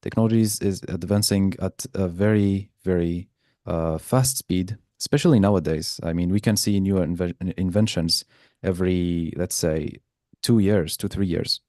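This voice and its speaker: A man's voice: low voice, normal speed, mellow, no echo, studio quality. A man giving an informal presentation as guest speaker in an online class. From Algeria. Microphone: video call.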